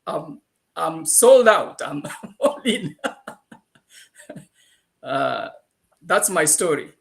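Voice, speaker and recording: A man with a Kenyan accent telling his own story as a guest on a video call, halting and full of fillers. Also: on the high side, sing-song, creaky. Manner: halting, many fillers, laughs often. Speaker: guest giving a testimonial. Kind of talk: casual personal story.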